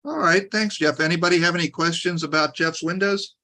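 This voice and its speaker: A man hosts an online hobby meetup with a bright, fairly high voice. He encourages each presenter and keeps things moving. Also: fairly quick. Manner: encouraging, moves things along. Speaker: meetup host.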